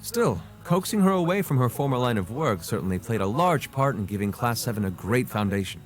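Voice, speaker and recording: An actor playing an eloquent, playful noble prince in a game cutscene, with a refined, theatrical manner. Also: male voice, mid-range voice, animated, a little fast, lightly acted. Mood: informative, admiring. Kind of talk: scripted game cutscene acting. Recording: music behind the voice.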